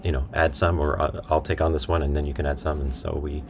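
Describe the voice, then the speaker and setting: A man's voice: rumbling low, flat delivery, fast, background noise. A man talking as a guest in a casual interview, informal and easygoing. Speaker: interview guest, voice actor and writer.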